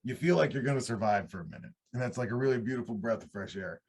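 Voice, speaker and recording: A man's voice: medium pitch, quick pace, vocal fry, slight background noise. A man in his thirties from upstate New York, a country singer telling his story in a casual, rambling interview. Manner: rambling, relaxed, restarts mid-sentence. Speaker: country singer-songwriter guest.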